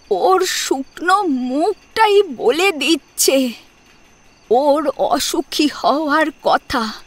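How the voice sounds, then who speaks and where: Woman acting a slow, sorrowful old grandmother. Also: high voice, heavily acted. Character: worried, tender elderly grandmother.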